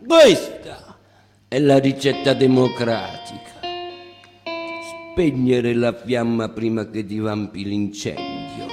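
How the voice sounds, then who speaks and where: A male actor plays a cold, cynical elder statesman delivering a theatrical monologue. He speaks slowly and deliberately in a raised pitch, pausing and humming to himself. Long reverb surrounds him, with guitar playing underneath. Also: animated. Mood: coldly menacing. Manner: slow, deliberate, with pauses and muttered hums. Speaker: stage actor.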